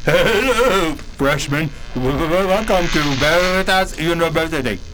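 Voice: mid-range voice, expressive, unhurried, fairly bright tone, rough recording. An actor voicing a broken robot mentor in an audio drama, chirpy and stuttering. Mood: mechanical cheer. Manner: stuttering, glitching.

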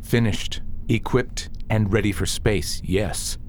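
A man's voice: on the low side, takes it slowly, a little hiss or hum. An actor playing a grieving young nobleman who is wounded and grimly set on vengeance in a science fiction audio drama. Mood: resolute.

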